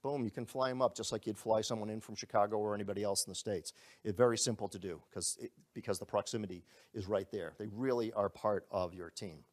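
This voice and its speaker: Upbeat man giving a sales pitch. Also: quick pace. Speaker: company presenter. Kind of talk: live sales presentation. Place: presenting in a room to a group.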